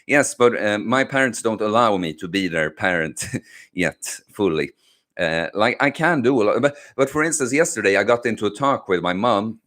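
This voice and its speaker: A young man with a fairly low voice hosts a casual livestream and reads out questions from his chat. He speaks English as a second language, with Swedish as his first, and answers loosely, with frequent fillers, false starts and repeated words. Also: lively intonation, fairly bright tone. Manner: halting, many fillers and restarts.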